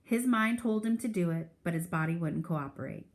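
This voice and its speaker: A woman's voice: fairly low pitch, normal speed. Woman reading a children's novel aloud. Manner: expressive storytelling with character voices. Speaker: teacher reading aloud to a class.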